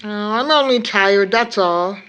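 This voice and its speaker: An actress playing a fond, tired, gently chiding mother in an audio drama, with a measured way of talking. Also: medium pitch, natural voice, poor audio. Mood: fond, weary. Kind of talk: stage-play audio drama acting.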